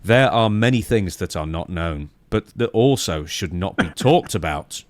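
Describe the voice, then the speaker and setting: A man's voice: sits low, expressive, normal speed, no room sound. A man hosting a podcast, reading out news items and chatting about them in a wry, conversational way. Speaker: podcast host.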